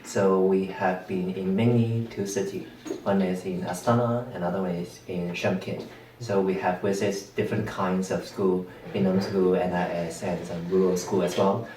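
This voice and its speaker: A man teacher, calmly explaining his answers in an interview. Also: deep voice, moderate pace. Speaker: visiting teacher from Hong Kong. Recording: roomy, distant mic, faint room noise.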